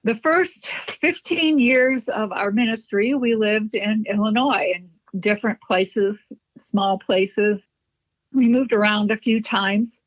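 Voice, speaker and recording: A middle-aged woman from Chicago talks through her memories as an interview guest, warm in a chatty, reminiscent way. Her voice sits at an ordinary pitch. The recording is dry and a bit dull, with little high end. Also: slow pace, no room sound. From Chicago, USA. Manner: chatty, reminiscent, light laughs. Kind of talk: conversational interview storytelling. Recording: dull, slightly muffled, dry.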